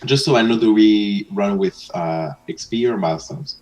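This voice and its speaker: Lowish-voiced man. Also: moderate pace, a little mellow, low-quality recording. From Quebec, Canada. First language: French. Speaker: player. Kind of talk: casual chat between players.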